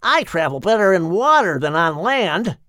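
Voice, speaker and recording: Man voicing a high, fretful Canadian beaver. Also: sing-song, normal speed, pushed and bright, no echo, studio quality, full character voice. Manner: worried, plaintive. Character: fretful, bucky-toothed male beaver. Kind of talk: audiobook character voice.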